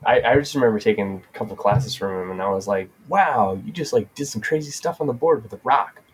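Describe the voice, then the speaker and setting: A man's voice: fairly low pitch, a little fast, slight background noise. A man co-hosting a podcast in casual chat, rambling with frequent restarts and laughter. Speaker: podcast co-host.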